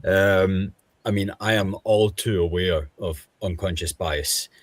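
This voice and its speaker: A man with a Scottish accent, speaking as a guest on an online panel discussion in a measured, thoughtful way with frequent pauses. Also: sits low, dry recording. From Scotland.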